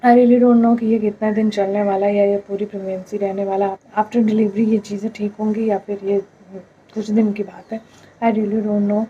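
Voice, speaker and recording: A young Indian woman vlogging to camera, hoarse from a throat infection, speaking in a tired, plaintive way and mixing in English words. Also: medium pitch, flat delivery, moderate pace, dull audio. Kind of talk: casual talk to camera.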